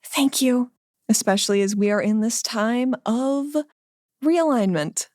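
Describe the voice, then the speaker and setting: A woman's voice: a little high, measured pace, darkish tone, no echo, studio quality. A woman hosting a podcast, giving credits and thanks to listeners. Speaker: podcast host.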